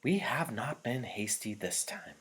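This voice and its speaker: A man's voice: mid-range voice, lively intonation, a bit bright, husky, pitched above the performer's own voice, exaggerated voice. A man voicing a gracious, commanding female chief elder who addresses a crowd formally in an audiobook reading. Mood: solemn. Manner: formal, measured, solemn.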